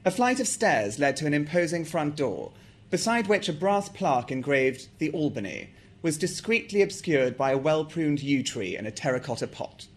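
A man's voice: on the high side, expressive, moderate pace. A man with an English accent, from South Africa, talking about his novel and reading from it aloud. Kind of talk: author talk and reading aloud.

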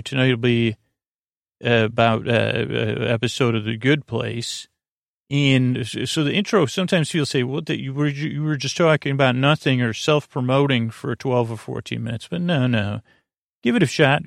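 A man with a gravelly, creaking voice delivers a bedtime podcast monologue. He wanders gently from tangent to tangent, stumbling over filler words and restarts in a soothing way. The sound is close and dry, with very little room around it. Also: medium pitch, no room sound. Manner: halting, digressive, many false starts and filler words, soothing. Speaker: host of a sleep podcast.

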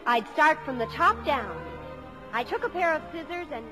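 A high, youthful girl's voice, earnest and defiant. She is the melodramatic eldest daughter in a 1950s radio play, with music in the old broadcast. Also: lively intonation, normal speed. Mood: wry, reflective. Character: rebellious, self-pitying teenage eldest daughter, narrating her memories.

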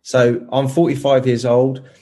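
A man's voice: mid-range voice, little pitch movement, a little slow, dark tone. A man in his thirties, a podcast guest explaining his plans in casual chat, eager and full of restarts. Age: 30s. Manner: enthusiastic, many restarts and fillers.

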